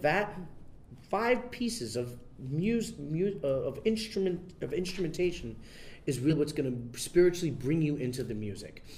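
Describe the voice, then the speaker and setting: A man being interviewed, talking in a relaxed way with many fillers and restarts. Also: a little high, normal speed. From United States. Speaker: interview guest, son of a famous bandleader. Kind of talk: casual interview.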